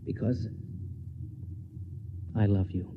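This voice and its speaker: An actor playing a resolute, weary spaceship captain and husband, terse and authoritative, in 1950s radio drama style. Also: male voice, on the low side, fairly even intonation, slow pace, creaky. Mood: resolute, restrained. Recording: muffled, hissy old radio broadcast.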